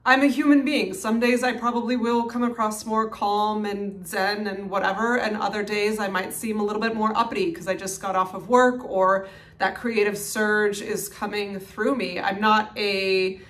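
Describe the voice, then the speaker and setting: A young woman talking casually to the camera about her channel, recorded on her phone. She has a loud, projecting voice and moves between calm and lively stretches. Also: medium pitch, moderate pace. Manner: loud, animated, strong projection. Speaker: minimalist YouTuber. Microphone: phone mic.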